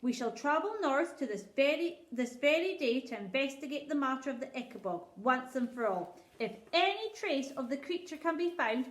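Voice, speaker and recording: A woman's voice: high voice, expressive, moderate pace, hardly any pauses, voice pushed up. Vain, impatient storybook king, regal and pompous, voiced by a woman; echoey room. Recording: roomy echo.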